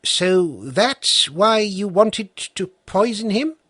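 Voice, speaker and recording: A man's voice: sits high, expressive, takes it slowly, fairly bright tone, no room sound, studio quality, pitched above the performer's own voice, full character voice. A man reading as a stern, formal old judge. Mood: stern questioning. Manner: formal, questioning. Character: stern patient elderly male judge.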